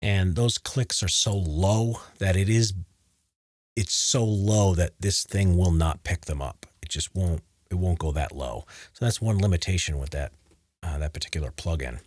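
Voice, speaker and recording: A man with a low, deep voice, casually explaining how he edits his voice-over recordings to a livestream audience from his home studio desk. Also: normal speed, a little mellow, dry recording. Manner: talks over his own playback, breaking off to explain edits. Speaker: voice actor editing his own recordings. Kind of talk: casual livestream tutorial mixed with recorded narration takes. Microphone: studio mic on a boom arm.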